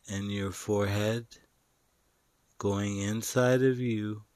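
A man narrating a guided meditation in a soothing, instructional way, pausing between short phrases. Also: on the low side, dry recording.

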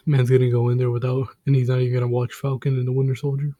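A man's voice: mid-range voice, monotone, rapid-fire, mellow, no echo. A young man co-hosting a casual podcast, talking bluntly in slang and stumbling over restarts. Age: young adult. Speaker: podcast co-host. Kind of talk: casual chat among friends.

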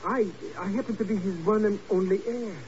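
An actor playing a greedy, hypocritical schemer in a 1950s radio drama, with an ingratiating, hesitant stammer. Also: male voice, sits high, steady tone, brisk, darkish tone, close to an everyday voice. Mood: sly, wheedling. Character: wheedling, greedy, hypocritical male schemer, an agent's sidekick. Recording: muffled, noisy old 1950s radio recording.

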